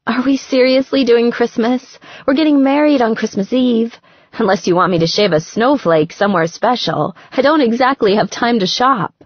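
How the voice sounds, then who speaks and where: A woman voicing an affectionate, sardonic young bride-to-be who frets, in an expressive conversational manner for an audiobook. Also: on the high side, normal speed, breathy edge, no echo, muffled recording, pitched above the performer's own voice. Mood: playful, sardonic.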